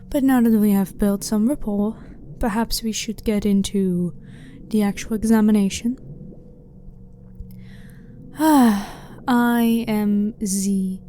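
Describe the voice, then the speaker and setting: A woman acts out a female alien researcher in a sci-fi ASMR roleplay, with a dark, relaxed tone at a middle pitch. She is blunt and clinical but curious and unthreatening, speaking softly and formally to the listener. Noticeable ambient noise sits behind the voice. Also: slow, pauses often, close to an everyday voice. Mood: calm, explaining. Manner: blunt, clinical, matter-of-fact, slightly formal. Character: blunt, curious female alien scientist, calm and not hostile. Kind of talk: ASMR roleplay, soft-spoken monologue to the listener.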